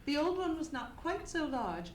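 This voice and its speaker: A woman's voice: high voice, big pitch swings, normal speed. Woman playing genteel young lady, echoey stage. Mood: polite, explanatory. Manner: polite, proper, explanatory. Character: Miss Stoner, polite, earnest genteel young lady client. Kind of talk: old-time radio play acting. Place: live theatre stage performance. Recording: echoey stage recording with background noise.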